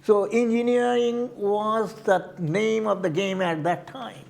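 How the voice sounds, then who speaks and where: An older man with an Indian accent, answering interview questions in a halting way, often repeating words as he searches for them. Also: sits high, fairly even intonation, creaky, a little mellow, slight background noise. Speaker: community board candidate.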